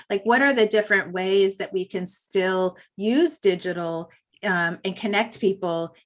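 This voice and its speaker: A woman speaking as a panelist in a virtual panel discussion, with a loud voice and a loose, thinking-aloud delivery full of fillers. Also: medium pitch. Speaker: panelist, campaign director.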